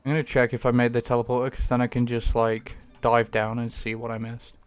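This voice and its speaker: A man's voice: mid-range voice, level tone, fairly quick, clear, resonant tone, soft background music. A young man chatting casually while streaming a game at home, rambling and self-deprecating with the odd swear. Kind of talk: casual gaming stream chat.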